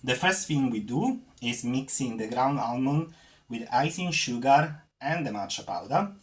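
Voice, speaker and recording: A man's voice: mid-range voice, expressive, measured pace. A man from Sicily with an Italian accent, hosting a casual cooking tutorial and chatting loosely with many fillers. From Sicily, Italy. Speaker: cooking channel host.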